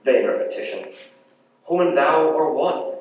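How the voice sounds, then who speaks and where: A man's voice: on the high side, lively intonation, a little slow. A young man in his teens giving a dramatic, declamatory poetry recitation with emphatic, theatrical phrasing. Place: large echoey indoor hall at a poetry competition. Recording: very echoey hall, distant dull mic, faint noise.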